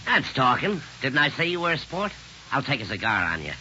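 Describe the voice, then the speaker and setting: An actor playing a breezy, sardonic saloon bartender in a 1940s radio drama, talking in slang. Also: male voice, medium pitch, sing-song, a little fast, bright, forceful tone, a little hiss or hum. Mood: wisecracking. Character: wisecracking, slangy male bartender in a seedy saloon. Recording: dull old radio broadcast recording.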